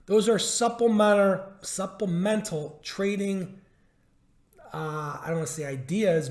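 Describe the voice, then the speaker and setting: A hoarse man who is losing his voice, giving a stock market update over charts; he talks emphatically, repeats his points and asks rhetorical questions. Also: fairly high pitch, echoey room. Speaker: stock trading educator.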